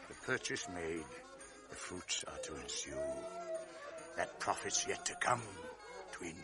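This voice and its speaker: An actor playing a commanding general, measured and authoritative, speaking from a distance in a classic radio drama. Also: male voice, low-pitched, lively intonation, loud music behind the voice, a loud crowd. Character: commanding, newly married male general.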